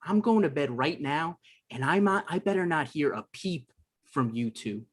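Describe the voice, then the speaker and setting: A man's voice: on the high side, lively intonation, moderate pace, breathy edge. A man playing a defensive, self-important novelist who whines and argues, in a table read of a screenplay. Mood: indignant. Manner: argumentative, exasperated. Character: defensive, self-important male novelist, whiny and quick to anger.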